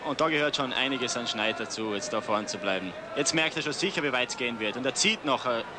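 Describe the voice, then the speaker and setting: A man's voice: medium pitch, animated, quick pace. A man with an Austrian accent giving colloquial expert analysis during live ski flying commentary. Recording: noisy outdoor sports broadcast.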